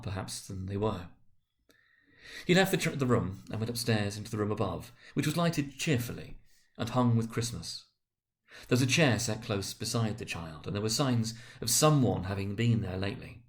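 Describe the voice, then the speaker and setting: Male storyteller, dramatic literary narration, very lively intonation, occasionally halting. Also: lowish voice.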